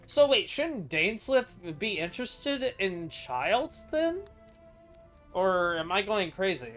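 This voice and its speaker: Guy streaming a game, thinking out loud, swears a lot, faint music behind him. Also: high voice, sing-song, slow. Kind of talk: casual unscripted gameplay reaction commentary.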